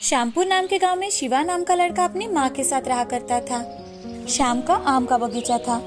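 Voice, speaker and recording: A woman's voice: sits high, fairly even intonation, normal speed, music in the background. A woman giving scripted storytelling narration of children's moral tales.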